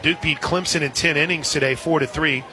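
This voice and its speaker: A man calling softball play-by-play on the radio, conversationally filling in scores and details between pitches. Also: fairly even intonation, brisk, faint music behind. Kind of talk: live softball radio commentary. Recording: outdoor stadium crowd behind the voice. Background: crowd noise.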